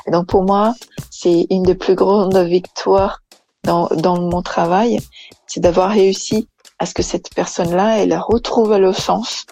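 A woman's voice: fairly low pitch, fairly even intonation, measured pace, mellow, faint music behind. A woman from Brazil, an engineering manager answering interview questions hesitantly with many fillers and restarts.

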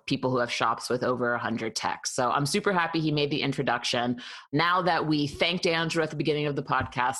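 A woman's voice: rumbling low, fairly bright tone, no echo. A woman hosting an interview podcast, introducing her guest and asking questions in an upbeat, eager way. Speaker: podcast host.

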